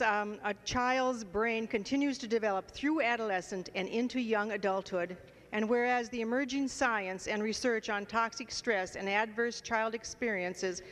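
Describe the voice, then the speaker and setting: An older woman's fairly high voice carries through a reverberant chamber. She reads a resolution formally as a legislator. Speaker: state legislator. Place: large indoor legislative chamber. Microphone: handheld floor microphone.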